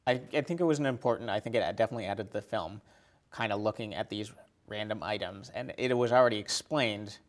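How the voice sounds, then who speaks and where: A man's voice: lowish voice, fast. A man in his thirties chatting casually about films as a guest, tentative and agreeable. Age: 30s. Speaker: podcast guest.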